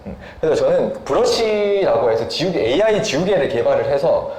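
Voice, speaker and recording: High-voiced, lively man explaining in echoey room. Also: brisk. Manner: polite, frequent short hums between phrases. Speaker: software developer, interview guest. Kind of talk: explanatory interview talk about a product. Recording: roomy echo, faint background noise.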